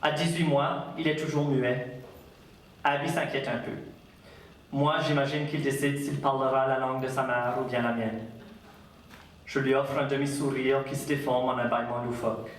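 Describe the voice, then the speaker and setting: An Acadian man, an author, lecturing and reading his own work aloud in a measured, unhurried voice. His voice sits mid-range. It is picked up from a distance in a big, heavily echoing hall with a faint hum in the background. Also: mid-range voice, fairly even intonation. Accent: Acadian French. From Acadia, Canada. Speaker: author giving a public talk. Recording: very echoey hall, distant mic.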